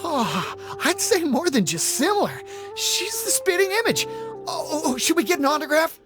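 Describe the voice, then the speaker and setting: A woman voicing an excitable, impressionable townswoman in a video game, exclaiming and easily awed. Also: very high-pitched, normal speed, over music. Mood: starstruck. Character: excitable, impressionable female townsperson in story audience.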